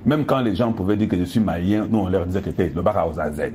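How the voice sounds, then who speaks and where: A man's voice: sits low, fast, dark tone, a little hiss or hum. A man from Congo with a Congolese French accent, giving an impassioned political monologue to viewers, emphatic and full of pointed rhetorical questions. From Democratic Republic of the Congo. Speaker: political commentator.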